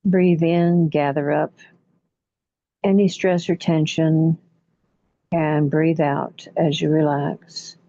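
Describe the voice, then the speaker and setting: A woman leading a guided relaxation meditation in a soothing, unhurried manner, leaving long pauses between short phrases. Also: sits low, steady tone, mellow, no room sound. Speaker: guided imagery facilitator. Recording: muffled, dull lo-fi audio.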